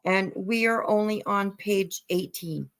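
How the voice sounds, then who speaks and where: A woman's voice: medium pitch, slow pace. A woman hosting a casual livestream commentary, talking bluntly and emphatically, repeating phrases for emphasis and swearing casually. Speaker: livestream host.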